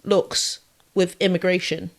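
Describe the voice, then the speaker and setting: A woman's voice: fairly low pitch, flat delivery, unhurried, vocal fry. A woman born in Jamaica and raised in the south of England, with a southern English accent, chatting casually and thinking aloud with restarts. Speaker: podcast co-host. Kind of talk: casual conversational chat.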